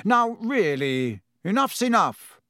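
A man's voice: high voice, sing-song, slow, pushed and bright, no room sound, studio quality, voice pushed up, heavily acted. A man voicing a pompous, bossy older teenage boy, an officious and dismissive school prefect in a storybook.

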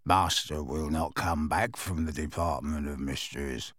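A wheezy, cackling old house elf. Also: male voice, rumbling low, measured pace, a bit bright, no echo, clean studio sound, pitched below the performer's own voice, exaggerated voice. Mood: sly gloating. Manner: sly, muttering.

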